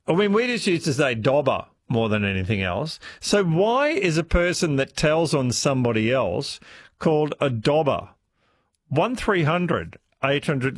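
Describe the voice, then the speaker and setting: A man hosts talkback radio from a studio mic. His voice is husky and swoops up and down with energy. The sound is close and dry. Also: mid-range voice, no echo. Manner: friendly, conversational, reads listener messages. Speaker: radio host. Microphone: studio microphone.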